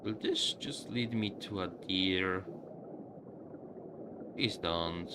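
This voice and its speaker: Male streamer, low register, halting casual play commentary at a slow pace, music playing behind. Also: background noise. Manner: halting, musing aloud to viewers. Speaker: game streamer. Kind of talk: casual live game commentary.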